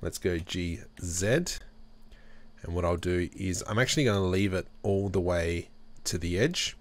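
A man with a very low voice hosts a software tutorial. He talks his way through each step in a relaxed way, thinking aloud as he works at his desk microphone. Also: unhurried. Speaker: tutorial host. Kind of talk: screen-recorded software tutorial.

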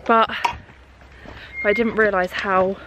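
A young woman in her twenties, husky from feeling unwell, chatting casually to a handheld camera with lots of fillers. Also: medium pitch, little pitch movement, takes it slowly, highs cut off, noisy background. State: unwell, voice going. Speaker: vlogger. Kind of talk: casual vlog chat to camera. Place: bedroom indoors, then outdoors in countryside. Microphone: handheld camera at arm's length.

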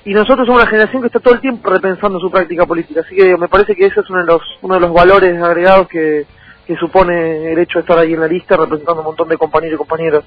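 A man's voice: sits high, steady tone, rapid-fire, no room sound, lo-fi sound. A man in his thirties with a Rioplatense Argentine accent, answering conversationally as a political candidate in a radio interview, often repeating words as he goes.

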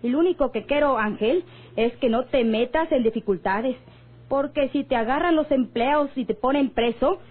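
An actress playing a distrustful, scolding ranch woman with a rural Mexican accent and a husky voice in a radio drama. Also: sits high, normal speed. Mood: worried, insistent. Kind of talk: old-time radio drama acting. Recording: muffled old radio recording.

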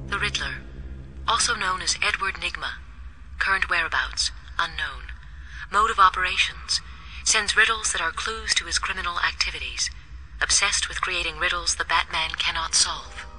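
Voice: medium pitch, normal speed, pushed and bright, husky. A performer playing an impersonal crime-file computer in an audio drama, clipped readout with a processed effect and reverb. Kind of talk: children's audio drama acting. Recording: reverberant, harsh processed sound.

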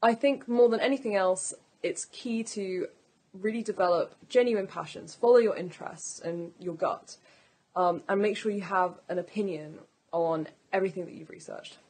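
A woman's voice: mid-range voice, moderate pace, a little mellow, breathy edge. A young woman, a student giving advice to camera indoors. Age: young adult. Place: indoors, by a curtained window.

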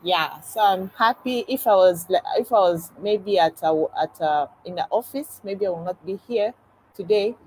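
Halting woman on a phone call. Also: medium pitch, darkish tone, slight background noise. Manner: simple, halting phrasing. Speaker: team member on a video call. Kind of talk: casual chat. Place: outdoors on a balcony. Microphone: phone video call.

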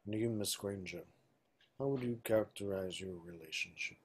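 A man's voice: deep voice, normal speed, vocal fry, husky, full character voice. A man playing a stern, probing older government minister of magic at a table read on a video call. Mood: coolly probing. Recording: faintly noisy video call.

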